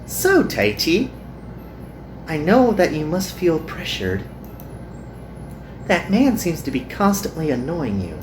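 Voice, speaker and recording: A woman voicing a kind, earnest young magical girl in a visual novel, with gentle and formal phrasing. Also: sits low, very animated, slow, long pauses, music in the background, heavily acted. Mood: sympathetic.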